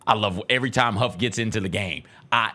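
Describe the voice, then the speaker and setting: A man's voice: lowish voice, fairly quick, sharp tone. An American man co-hosting a casual sports talk show in a studio, speaking emphatically and repeating phrases for emphasis. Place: radio-style studio.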